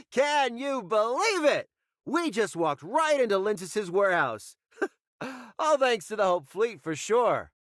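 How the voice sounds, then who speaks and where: A man voicing a greedy, gleeful young pirate treasure hunter in an anime video game, smug and excitable. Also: very high-pitched, takes it slowly, no room sound, clean studio sound, heavily acted.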